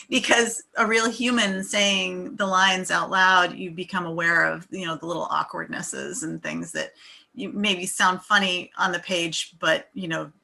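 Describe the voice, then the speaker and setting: A woman's voice: fairly low pitch, unhurried, gravelly, pushed and bright. A woman screenwriter speaking casually and effusively on a video call, full of appreciation. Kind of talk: casual group chat.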